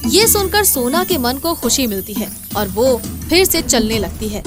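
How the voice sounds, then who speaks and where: High-pitched woman narrating a story. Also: big pitch swings, normal speed. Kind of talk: dramatic scripted story narration in Hindi. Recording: clear background music under voice.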